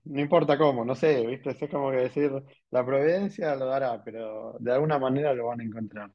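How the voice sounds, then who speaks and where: Argentine man hosting, dull lo-fi audio. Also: mid-range voice. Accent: Rioplatense Spanish. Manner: musing, rambling questions with many "¿no?". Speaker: podcast host. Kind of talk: casual interview. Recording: dull lo-fi sound.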